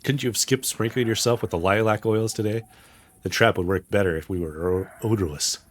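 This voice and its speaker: Man reading as a blunt, low-voiced hunter. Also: fairly quick, a little hiss or hum, natural voice. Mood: irritated. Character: gruff, practical male hunter, blunt and teasing. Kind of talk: visual novel character read aloud.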